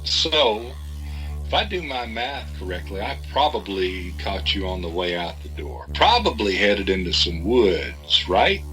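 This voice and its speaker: A man's voice: lowish voice, sing-song, measured pace, pushed and bright, soft background music, heavily acted, poor audio. An actor playing a cagey, folksy man who deals in secrets, with a knowing, evasive manner. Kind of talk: audio drama acting.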